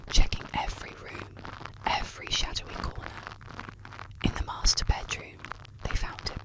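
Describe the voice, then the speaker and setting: An ASMR storyteller narrating scripted tales in a breathy, unvoiced whisper, with soft, deliberate phrasing. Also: low-quality recording.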